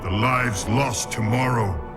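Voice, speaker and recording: Proud, slow-spoken alien warrior. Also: male voice, mid-range voice. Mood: earnest conviction. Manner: earnest, declamatory, formal. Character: proud, devoted alien warrior, ready to die. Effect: processed telepathic alien voice effect. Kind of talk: dramatic video game cinematic acting. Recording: distant voice under cinematic music.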